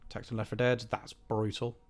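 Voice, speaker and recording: A slightly hoarse man giving rambling, wry, opinionated commentary while playing a game. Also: lowish voice, little pitch movement, brisk. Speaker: gaming host. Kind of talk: casual gameplay commentary.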